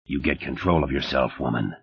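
A man voicing a menacing male killer in an audiobook, speaking flat, cold and quietly threatening. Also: a bass voice, brisk, vocal fry, voice pushed down. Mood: cold threat. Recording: slightly dull, lo-fi audiobook recording.

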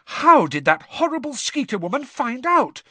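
A man voicing a clever, earnest teenage girl in an audiobook, talking in a quick, earnest way. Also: very high voice, very animated, sharp tone, no room sound, pitched above the performer's own voice, heavily acted.

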